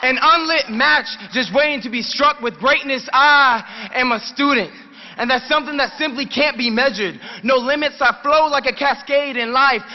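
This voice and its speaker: Young male student poet, very high voice, impassioned declaiming, muffled hall reverb. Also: moderate pace, slight background noise. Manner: declamatory, emphatic. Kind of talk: impassioned live slam poetry speech. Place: large hall at a rally. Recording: echoey hall, dull lo-fi capture.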